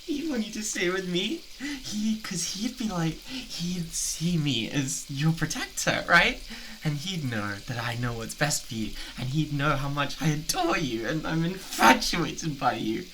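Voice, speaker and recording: A man's voice: a little high, animated, sharp tone, slight background noise, low-quality recording. Man acting an unhinged, swooping British yandere boyfriend. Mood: adoring, manic. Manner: halting, giggly, theatrically coaxing. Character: obsessive, unhinged yandere boyfriend, doting and menacing. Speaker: ASMR roleplay performer. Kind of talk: improvised intimate ASMR roleplay.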